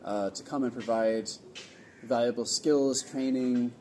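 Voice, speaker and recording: A man from California hosting a casual interview at an event venue, easygoing and rambling with pauses. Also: mid-range voice, steady tone, mellow, a little hiss or hum. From California, USA. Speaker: host of a nomad community event.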